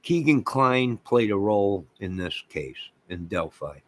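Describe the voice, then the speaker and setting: A man's voice: on the low side, expressive, measured pace. A man with an American accent hosting an online channel, giving an opinionated, emphatic rant to his viewers and often repeating phrases for weight. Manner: emphatic, repeats phrases, mocks opposing views sarcastically. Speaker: online video channel host.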